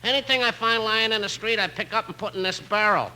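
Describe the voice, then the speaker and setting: A man's voice: high voice, fast, sharp tone, slight background noise, poor audio. An actor playing a matter-of-fact working man, a street cleaner, in 1950s radio comedy style. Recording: old 1950s radio broadcast.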